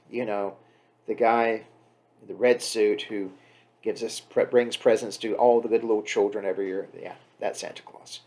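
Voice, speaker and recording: Man chatting casually with wry, emphatic asides. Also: lowish voice. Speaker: host introducing a poem reading. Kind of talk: casual off-the-cuff spoken introduction.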